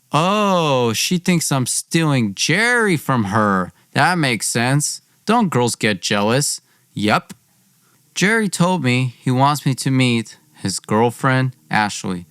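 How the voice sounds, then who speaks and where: A man's voice: medium pitch, fairly bright tone, clean tone, no room sound, clean studio sound. A young man hosting a podcast, telling a personal story dramatically in an informal, wry way, acting out dialogue. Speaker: podcast host.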